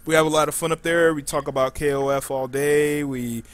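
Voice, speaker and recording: A man with a slightly high voice hosts a live gaming podcast. He talks casually and informally, dropping slang as he moves between guests and announcements. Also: fairly even intonation, fairly quick, clean tone. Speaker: podcast host.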